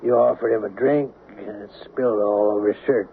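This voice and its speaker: An actor playing a shrewd, folksy small-town investigator in an old-time radio drama, plain-spoken, on a tinny, noisy recording. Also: male voice, on the low side, steady tone, brisk, vocal fry. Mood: persuasive.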